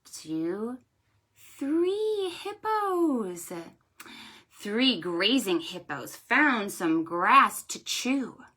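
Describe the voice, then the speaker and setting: A woman leads an interactive storytime for small children. Her voice is bright and a little high, and she speaks very slowly with sing-song exaggeration. She asks questions, leaves room for answers and encourages her young listeners. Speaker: children's librarian hosting preschool storytime.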